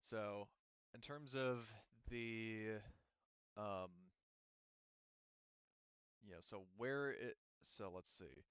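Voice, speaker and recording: Clear-voiced man game master, slightly muffled. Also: fairly low pitch, fairly bright tone, no room sound. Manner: halting, explanatory, hedging with asides. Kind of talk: improvised tabletop game narration and rules talk. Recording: muffled, dull microphone sound.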